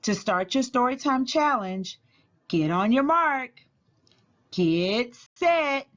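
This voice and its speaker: Slow-paced woman librarian, dull lo-fi sound. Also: a little high, animated, fairly bright tone. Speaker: school librarian reading aloud to children. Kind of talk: storybook read-aloud and activity instructions.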